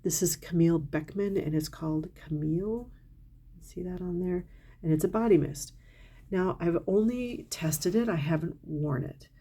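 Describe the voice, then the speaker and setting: A middle-aged woman talks casually and candidly to her viewers about perfumes she bought. Her voice sits low and mellow with a gravelly edge. She sounds a little congested from a cold, and she is openly enthusiastic. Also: normal speed. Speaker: perfume reviewer, channel host. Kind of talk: casual product haul talk to camera.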